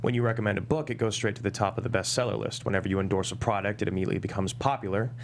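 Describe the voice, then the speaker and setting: A man's voice: on the low side, fairly even intonation, rapid-fire, gravelly, a little hiss or hum. A man at a script table read playing a smug courtroom attorney who puts pointed, skeptical questions. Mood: smug, pressing.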